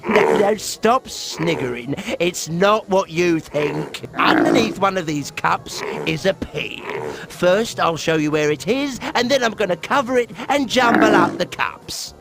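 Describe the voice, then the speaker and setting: A man playing a cheeky market vendor in a video game, with a gravelly voice. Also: high voice, expressive, a little slow, full character voice. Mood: cheeky, patter. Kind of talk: video game cutscene acting. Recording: loud music behind.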